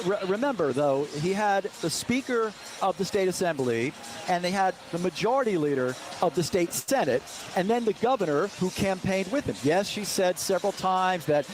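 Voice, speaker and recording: A man with a notably high voice gives live election night analysis as a news reporter. He explains in an emphatic, measured manner, with clear noise around him on location.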